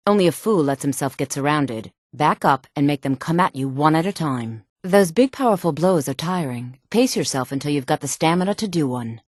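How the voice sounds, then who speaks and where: An actress playing a sultry, self-assured woman in a fantasy video game, speaking in a poised, measured and haughty manner. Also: low-pitched, a little mellow, no room sound, clean studio sound. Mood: firm, instructive. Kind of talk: video game character dialogue.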